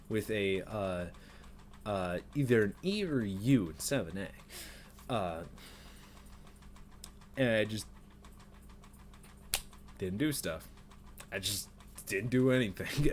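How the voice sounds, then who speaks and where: Guy in his twenties venting alone in his bedroom, kinda low voice, lots of uh and like, faint hiss behind. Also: animated, takes it slowly, long pauses. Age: 20s. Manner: rambling, self-critical, with nervous laughs and many fillers. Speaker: vlogger. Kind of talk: unedited personal brain-dump vlog. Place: small bedroom at home. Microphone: webcam at desk.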